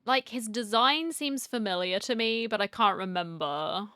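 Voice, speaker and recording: A woman's voice: medium pitch, moderate pace, pushed and bright, smooth, dry recording. A woman giving casual reaction commentary as she streams a game.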